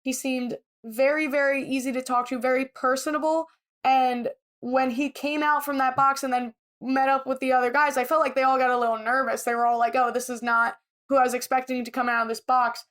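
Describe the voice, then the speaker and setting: A woman's voice: sits high, level tone, brisk, a bit bright. A woman co-hosting a podcast, chatting casually and giving her opinions freely. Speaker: podcast co-host. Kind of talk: casual chat, reality TV recap.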